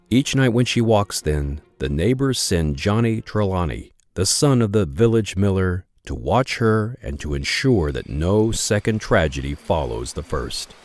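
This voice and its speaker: Deep male narrator, measured storytelling, light music under. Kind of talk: scripted radio drama narration.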